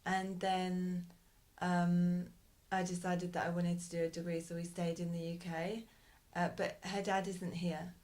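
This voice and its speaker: A woman with a fairly low voice answers interview questions in a relaxed, conversational way. Her pitch barely moves, and she talks thoughtfully, pausing and restarting as she gathers her words. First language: English. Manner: thoughtful, hesitant, with frequent pauses and restarts. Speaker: interviewee, bilingual parent.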